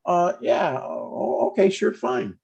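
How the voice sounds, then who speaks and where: Guy reading eager stubborn dad in his 60s, husky voice, kinda muffled lo-fi recording. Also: sits high, very animated, slow pace, a little mellow, exaggerated voice. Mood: evasive. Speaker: actor in a table read. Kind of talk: conversational comedy script read aloud.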